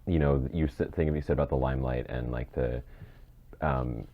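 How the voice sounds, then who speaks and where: A man's voice: a bass voice, fairly even intonation, normal speed, dull audio. A man co-hosting a casual podcast, rambling as he circles back to rephrase his thoughts. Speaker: podcast co-host.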